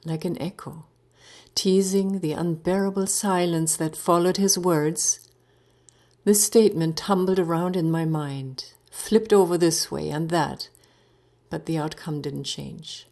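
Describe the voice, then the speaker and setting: A woman narrating a story in the first person, deliberate and theatrical, sometimes dropping to a hush. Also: fairly low pitch, fairly even intonation. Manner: deliberate, theatrical, breaking into hushed phrases.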